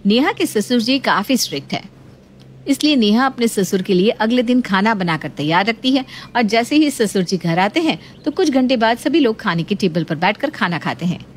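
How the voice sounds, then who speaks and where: A woman's voice: fairly high pitch, expressive, moderate pace, a little hiss or hum. A woman narrating a scripted family story as a storyteller.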